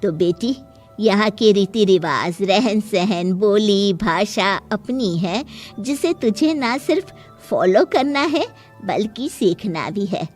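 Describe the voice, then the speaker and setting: A woman voicing a playful, wise middle-aged mother who gives drawn-out advice in a cartoon moral story. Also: medium pitch, lively intonation, soft background music. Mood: wise, advising.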